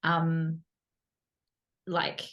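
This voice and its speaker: A woman's voice: low-pitched, monotone, very slow, dull audio. A woman hosting a podcast, chatting casually with a guest and laughing often, with many "like" fillers. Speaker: podcast host. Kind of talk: casual interview chat.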